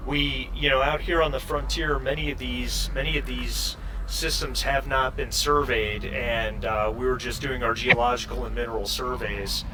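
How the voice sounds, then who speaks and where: A man playing an earnest, hesitant ship technician in a tabletop game, speaking haltingly and repeating words. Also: mid-range voice, fairly even intonation, lightly acted. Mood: hesitant, explanatory. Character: ship technician Leslie, earnest, hesitant, explaining spaceship business. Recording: distant mic, clear background noise.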